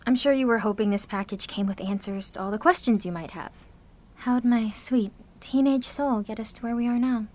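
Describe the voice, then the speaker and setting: A woman's voice: a little high, moderate pace, a little mellow, lightly acted. A woman playing an anxious, guilt-ridden seventeen-year-old girl with superpowers in an audio drama, speaking in a confessional, sardonic, conversational way. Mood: somber, guilty.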